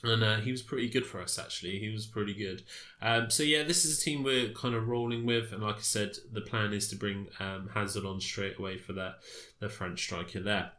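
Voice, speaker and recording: A man's voice: on the low side, fairly even intonation, brisk, pushed and bright, echoey room. A man with a stuffy, congested voice from a cold, casually commenting on his game with many fillers and restarts. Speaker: gaming commentator.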